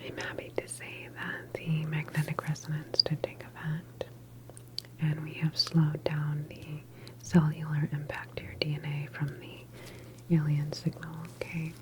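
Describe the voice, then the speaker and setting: A woman's voice: low voice, monotone, a little slow, poor audio. Whispering, reassuring female doctor, acted by a woman. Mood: soothing, sympathetic. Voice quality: soft, breathy whisper. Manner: gentle, reassuring, hesitant phrasing. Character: calm, reassuring female doctor at a government facility. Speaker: ASMR roleplay performer. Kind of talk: whispered ASMR medical roleplay.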